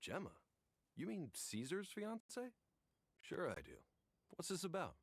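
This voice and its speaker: A man's voice: high-pitched, sing-song, unhurried, creaky, a little hiss or hum, exaggerated voice. A man playing a sympathetic, gossipy townsman in a video game, with a wistful way of talking. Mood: curious.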